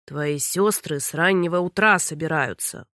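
A woman voicing a stern, blustering sea king father who scolds slowly and heavily. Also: bright, forceful tone, no echo, studio quality. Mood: grumbling calm. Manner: booming, scolding, drawn-out.

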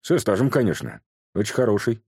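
A man voicing a stern, brisk businesswoman who speaks calmly and curtly. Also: low-pitched, no echo, clean studio sound. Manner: calm, curt, matter-of-fact.

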